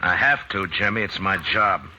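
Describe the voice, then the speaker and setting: A man playing a steady frontier town marshal in a vintage-style radio drama, speaking calmly and firmly. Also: low-pitched, normal speed, close to an everyday voice. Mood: calm resolve. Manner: calm, firm, gentle. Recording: noisy audio.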